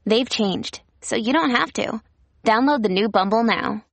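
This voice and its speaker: A woman's voice: fairly high pitch, unhurried, dry recording. A woman reading scripted advertising narration as a commercial voice-over.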